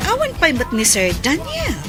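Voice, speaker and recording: A woman's voice: very high voice, wildly swooping pitch, moderate pace, over music, full character voice. A woman playing a nosy, gossiping young woman at an event in a radio drama. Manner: gossipy and curious.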